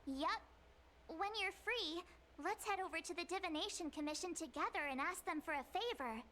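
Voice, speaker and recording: A woman's voice: very high-pitched, normal speed, sharp tone, a little hiss or hum. A woman voicing a small, chipper, plucky young female companion in a video game. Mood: curious, eager.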